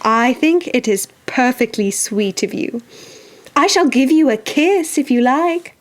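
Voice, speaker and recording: A polite, motherly young girl with a clearly high voice, played by a woman. The manner is prim and theatrical, as in a storybook audio drama. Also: a little slow. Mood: pleased, curious. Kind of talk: audio drama acting, also narrating own stage actions.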